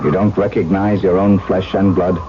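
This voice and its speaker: Actor as a haunted widower, dulled radio sound. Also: male voice, sits low, little pitch movement, normal speed, noisy background, lightly acted. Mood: earnest, anguished. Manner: intense, literary, melancholy. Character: brooding, haunted widower, tormented by lost love. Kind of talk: dramatic first-person radio narration and dialogue. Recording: dull 1970s radio recording, music behind.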